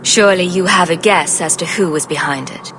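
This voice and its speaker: A confident sellsword heroine played by a woman in a fantasy game. Her voice is on the low side, and she speaks directly and with composure, probing for answers. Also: a little fast, a bit bright, a little hiss or hum, close to an everyday voice. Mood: composed, direct. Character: self-assured, direct female adventurer for hire. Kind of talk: video game dialogue.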